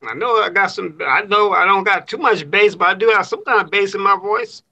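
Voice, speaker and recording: A man's voice: sits high, a little fast, creaky, sharp tone. A man joking around as a guest on an online call, laughing between his lines. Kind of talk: casual chat. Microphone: online call audio.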